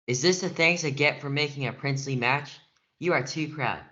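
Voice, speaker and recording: A domineering nobleman and father with a short temper, acted by a young man in a stage-play reading. His voice is bright and forceful, on a slightly dull recording. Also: a little high, brisk. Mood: angry.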